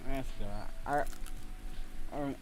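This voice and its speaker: A man's voice: medium pitch, steady tone, slow, slight background noise, low-quality recording. A man hosting a casual livestream chat, talking informally and swearing freely. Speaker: livestream host.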